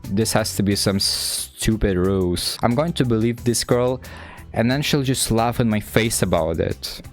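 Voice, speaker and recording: Visual novel schoolgirl male reader: anxious, wordy, self-doubting inner narration, lowish pitch. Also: unhurried, soft background music. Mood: suspicious. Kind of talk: visual novel read-aloud.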